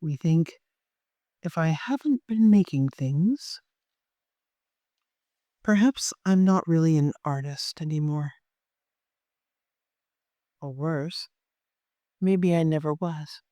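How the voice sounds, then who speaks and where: A meditation guide of unclear sex, speaking gently and softly in an unhurried way, with long pauses, leading a guided meditation. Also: medium pitch, no room sound, studio quality.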